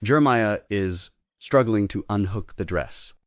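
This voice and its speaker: A man serves as narrator, reading stage directions in a scripted style. His voice is very low and recorded dry, without room sound. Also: measured pace, no echo.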